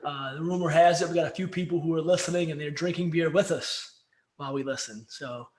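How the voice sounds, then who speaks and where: A man's voice: a little high, fairly quick, a little mellow, slight background noise. A man co-hosting a podcast, chatting casually over beers in a rambling, jokey way that keeps interrupting itself. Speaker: podcast co-host. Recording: roomy echo.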